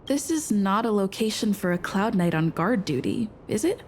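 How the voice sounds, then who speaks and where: A woman's voice: mid-range voice, expressive, moderate pace, a little hiss or hum, lightly acted. An actress playing a woman who probes a guard with pointed questions, in a game cutscene. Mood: probing. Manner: probing, pointed. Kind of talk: video game cutscene voice acting.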